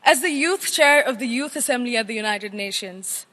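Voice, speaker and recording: Bright, high-voiced young woman orator. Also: animated. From Nepal. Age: young adult. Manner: earnest, emphatic oratory with measured phrases. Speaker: youth chair giving an opening address. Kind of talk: formal prepared speech. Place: large assembly hall, speaking to delegates. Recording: echoing large hall, long reverb.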